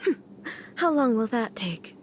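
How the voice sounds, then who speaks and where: A woman's voice: fairly high pitch, very animated, unhurried, slight background noise. A woman voicing a proud, lonely immortal ruler goddess in a video game, speaking in a formal, restrained and stately way. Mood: guarded. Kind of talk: dramatic video game cutscene acting.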